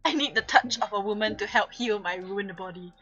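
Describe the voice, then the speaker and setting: Female streamer, bright and highish voice, giggly dramatic story reader, casual asides, slightly muffled audio over music. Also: a little fast. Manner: giggly, theatrical, often breaking off from the script. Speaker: streamer reading aloud. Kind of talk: dramatic fan fiction reading with casual asides. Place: live online stream.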